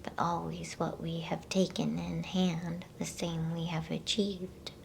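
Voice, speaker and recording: Female ASMR storybook reader: hushed breathy whisper, very slow delivery, crackly fry, intimate close mic. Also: lowish voice, level tone. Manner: hushed, unhurried, with playful asides. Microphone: close microphone.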